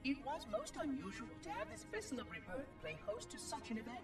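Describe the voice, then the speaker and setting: An actor playing a prim, talkative ancient robot caretaker in a video game, speaking formally and precisely. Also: male voice, very high voice, big pitch swings, brisk, recorded from a distance, music in the background, heavily acted. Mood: wistful, solemn.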